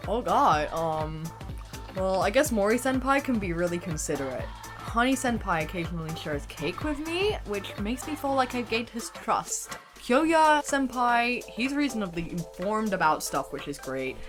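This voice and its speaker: A woman's voice: mid-range voice, lively intonation, moderate pace, over music, natural voice. A woman voicing a down-to-earth, blunt teenage tomboy student in an anime parody dub, with dry delivery. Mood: reluctant. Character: sardonic, down-to-earth teenage girl passing as boy student.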